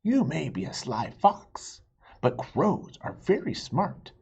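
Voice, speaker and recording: A proud and clever female crow from a storybook, stern and set on revenge, as a man reading aloud voices her. Her voice climbs high and husky, with whispery threats. The recording has a dull, lo-fi sound. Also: huge pitch swings, slow pace, darkish tone, heavily acted. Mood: threatening.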